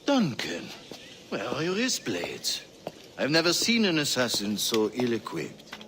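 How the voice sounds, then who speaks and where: An actor playing a sly, jovial male arms dealer, chuckling through a drawn-out, theatrical delivery in a game cutscene. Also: medium pitch, huge pitch swings, noisy background. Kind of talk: video game cutscene acting.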